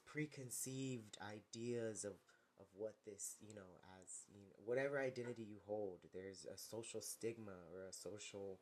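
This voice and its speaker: Man, slightly low voice, reflective musing, searches for words, rambling. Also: a little mellow. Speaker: vlogger. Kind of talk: reflective personal monologue.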